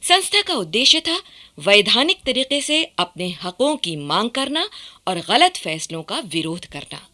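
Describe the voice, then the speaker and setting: A woman's voice: a little high, very animated, measured pace, sharp tone. A woman narrating a scripted historical radio drama.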